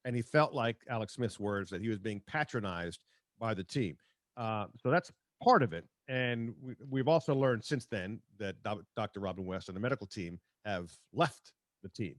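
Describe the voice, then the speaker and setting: A man hosting a sports talk show, speaking conversationally and haltingly as he comments and reads out quotes. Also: lowish voice, expressive, no echo.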